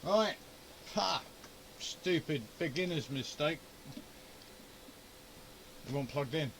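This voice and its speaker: A man's voice: fairly high pitch, animated, slow, fairly bright tone, slight background noise, poor audio. A man chatting informally and thinking aloud as a hobbyist host, grumbling his way through a hands-on equipment demonstration. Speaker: amateur radio hobbyist and video host. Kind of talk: casual unscripted shack demonstration, muttering through troubleshooting. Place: amateur radio shack at home.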